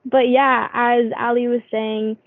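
Young woman from the philippines, higher voice, sounds like a phone line. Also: flat delivery, slow pace, dry recording. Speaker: actress guest. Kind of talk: casual interview chat. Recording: phone-like thin audio.

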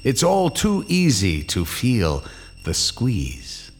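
A man's voice: mid-range voice, huge pitch swings, takes it slowly, husky, soft background music, full character voice. An actor playing a sardonic male horror-story narrator, delivering scripted lines with wry, theatrical phrasing. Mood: wry, ominous.

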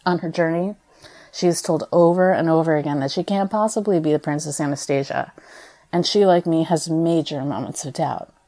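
Young American female performer, low register, expressive first-person storytelling, conversational read. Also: normal speed. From United States. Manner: expressive, conversational, acts out quoted dialogue. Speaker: stage performer. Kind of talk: reading a personal essay aloud, storytelling.

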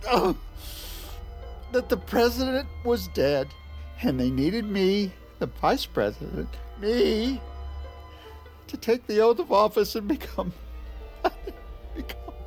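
An actor playing a whimpering, panicky Vice President in a comedic audio drama, anxious, halting and overwrought. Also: male voice, sits high, big pitch swings, faint music behind, exaggerated voice. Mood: whimpering, distraught.

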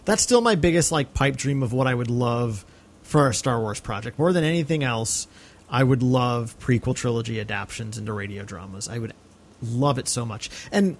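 A man's voice: mid-range voice, normal speed. A male podcast host talking casually and earnestly about film, with an enthusiastic manner.

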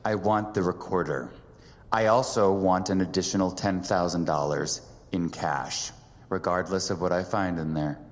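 An actor playing a blunt, wry antiquities appraiser in an audio drama, plain-spoken and defiant. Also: male voice, low voice, moderate pace, in a large hall, lightly acted. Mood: shrewd.

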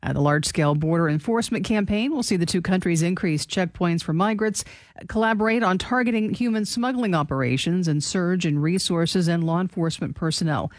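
A man's voice: high-pitched, fairly quick, no room sound. A man reading scripted news as part of a radio show, close on a studio microphone.

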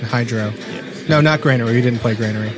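A man's voice: mid-range voice, quick pace, music in the background. A man hosting a casual gaming chat, bantering and teasing as he talks with the group. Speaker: podcast host.

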